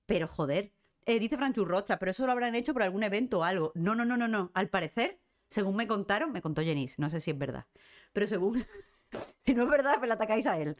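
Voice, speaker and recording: A woman's voice: mid-range voice, lively intonation, quick pace. A woman with a Spain Spanish accent, hosting a live stream with casual, ranting chat in a blunt, sarcastic, sweary manner. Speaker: host of a live cultural current-affairs show.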